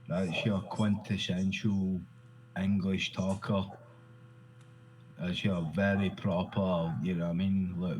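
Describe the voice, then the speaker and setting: A man with a Glaswegian Scottish accent talks slowly in a loose, rambling way, often restarting and swearing as he goes. His voice sits fairly low. A faint hum runs under the recording. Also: low-quality recording. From Glasgow, Scotland. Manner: loose, rambling, swears freely, repeats himself. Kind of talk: casual conversation.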